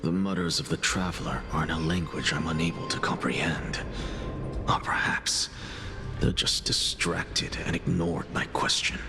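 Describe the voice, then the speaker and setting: A man voicing a weary, brooding wanderer in a video game, recounting things in an introspective, dramatic first person. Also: extremely low pitch. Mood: brooding, grave. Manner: slow, introspective. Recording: music under the voice.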